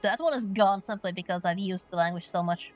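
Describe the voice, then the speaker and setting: A woman's voice: medium pitch, brisk, faint music behind. A woman from Finland streaming a story game, chatting playfully and reading questions aloud.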